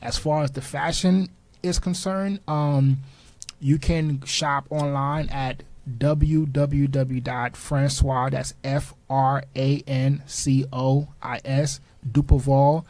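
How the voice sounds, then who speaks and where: A man's voice: medium pitch, flat delivery, darkish tone, no echo. Haitian-born man, dry studio voice. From born in Haiti, raised in Newark, New Jersey. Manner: spells out web addresses slowly and carefully. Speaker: guest entrepreneur and artist. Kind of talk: casual radio interview.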